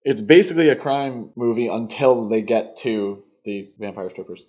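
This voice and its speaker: A man's voice: fairly low pitch, normal speed, a little mellow. A man co-hosting a podcast, discussing film casually in an opinionated, conversational way. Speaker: podcast co-host. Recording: dull, muffled lo-fi podcast audio.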